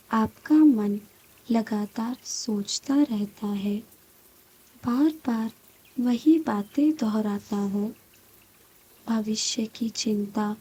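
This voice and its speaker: A woman guiding a scripted meditation in a soft, breathy voice, calm and soothing, with long pauses between short phrases. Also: fairly high pitch, rough recording. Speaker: meditation guide. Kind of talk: slow guided meditation, scripted.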